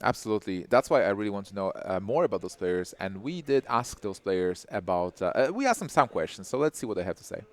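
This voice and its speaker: A male esports caster chats off the cuff at the desk. His voice sits fairly low, and he halts and doubles back on words. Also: very animated, fast talker, hardly any pauses. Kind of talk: live desk chat, unscripted.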